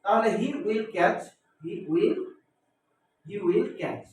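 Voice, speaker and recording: A man's voice: sits high, drawn-out words. Really echoey far-off mic, bengali guy teaching grammar, repeats stuff and asks questions he answers himself. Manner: didactic, repeats phrases and spells words out, asks and answers own questions. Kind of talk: classroom-style grammar lesson. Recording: echoey room, distant mic, faint noise.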